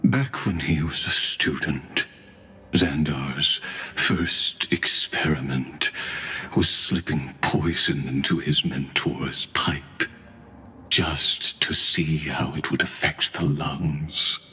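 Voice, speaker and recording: An actor playing a calm, detached dying scholar in a video game, speaking solemnly and wearily, with sighs. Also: male voice, very deep voice, breathy edge, faint music behind, full character voice. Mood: detached, wry. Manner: solemn, weary, deliberate, sighing. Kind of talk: dramatic video game voice acting.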